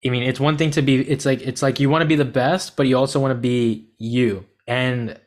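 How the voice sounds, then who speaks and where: A man's voice: medium pitch, steady tone, a little fast. A male host asks his guest long, winding questions in a medium voice, stopping and rephrasing before he lands on the point. The delivery is casual and conversational. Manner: rambling, restarts questions. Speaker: podcast host.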